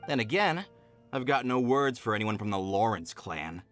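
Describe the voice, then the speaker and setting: A man's voice: lowish voice, sing-song, moderate pace, fairly bright tone, soft background music. A man voicing a rude, brusque townsman in a video game, dismissive in manner. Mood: hostile.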